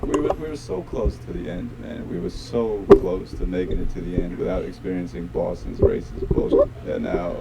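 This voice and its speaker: A man's voice: sits low, wildly swooping pitch, moderate pace, vocal fry, hardly any pauses, heavy background noise. A male stand-up comedian roasting a heckler in a cutting, exasperated way. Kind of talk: stand-up set answering a heckler.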